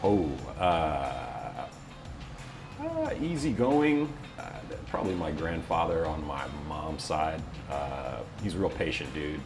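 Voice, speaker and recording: A man's voice: rumbling low, very animated, takes it slowly, over music. A man who works in voiceover, chatting casually as an interview guest with a playful, wry manner. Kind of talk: casual chat.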